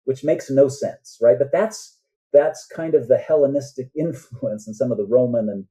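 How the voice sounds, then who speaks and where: A man's voice: mid-range voice, moderate pace, warm tone, breathy edge. A man speaking as an author guest on a podcast, explaining things earnestly in a conversational way and often checking in with the listener.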